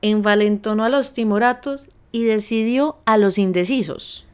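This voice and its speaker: A woman's voice: medium pitch, moderate pace, smooth, close to an everyday voice. A woman with a Colombian accent playing brusque, combative characters theatrically in a radio play. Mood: indignant. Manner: emphatic, theatrical. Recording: music running under the voice.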